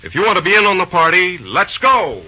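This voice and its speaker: A man's voice: high-pitched, big pitch swings, fairly quick, heavy background noise. A man narrating an old-time radio drama as its announcer, in a scripted storytelling style. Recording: muffled old radio recording, faint music.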